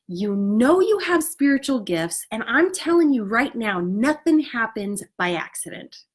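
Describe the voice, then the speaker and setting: A woman with a fairly high, stuffed-up voice that comes out a little nasal. She speaks outdoors as a spiritual coach. Her talk is earnest and encouraging, dotted with "you know" and "right?". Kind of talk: casual motivational talk to an online group.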